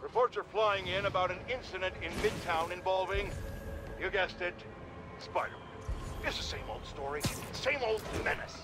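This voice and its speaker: A man voicing a blustering male radio pundit who rants theatrically, with loud music underneath. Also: sits high, lively intonation, normal speed. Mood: ranting. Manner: bombastic, theatrical. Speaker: radio host.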